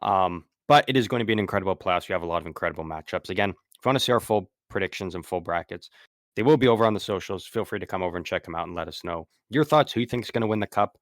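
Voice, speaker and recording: A man co-hosting a casual sports podcast, talking haltingly with lots of ums while fighting a sore throat. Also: deep voice, dry recording, studio quality. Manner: halting, many ums and restarts. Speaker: podcast co-host.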